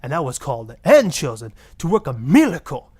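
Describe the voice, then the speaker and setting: A man's voice: on the high side, wildly swooping pitch, a little fast, a little mellow, breathy edge, exaggerated voice. A man voicing a self-righteous, grandiose marriage guru with a put-on Latin accent on a parody radio talk show. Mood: indignant, proud. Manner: grandiose, preachy, easily offended.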